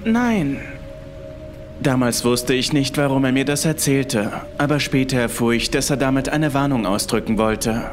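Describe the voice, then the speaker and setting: A man reading a scripted first-person horror story as its narrator. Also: mid-range voice. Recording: music behind the voice. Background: music.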